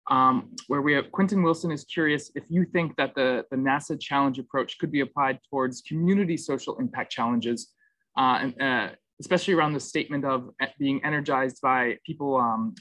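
A man's voice: medium pitch, fairly bright tone, dry recording, rough recording. A young man moderating an online panel over video call, asking loose questions with fillers.

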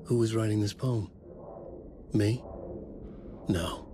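An actor playing a haunted, desperate middle-aged horror writer in an intense, troubled video game performance. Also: male voice, fairly low pitch, slow, noisy background. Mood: brooding, uneasy. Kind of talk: video game drama, introspective narration. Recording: music behind the voice.

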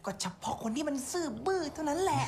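A woman voicing a small, mischievous, argumentative monkey in an animated film. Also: sits high, fast talker, a little hiss or hum. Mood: cheeky. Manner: cheeky, argumentative. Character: small cheeky mischievous monkey, thieving troublemaker.

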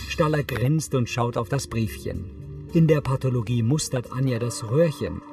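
A man's voice: mid-range voice, moderate pace, recorded from a distance, over music. A man giving neutral, sober scripted audio description for a TV drama. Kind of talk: scripted narration, present tense.

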